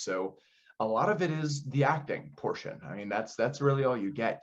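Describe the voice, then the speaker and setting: A man's voice: medium pitch, muffled recording. A man giving conversational, explanatory interview answers as a theater director, often posing questions as he goes.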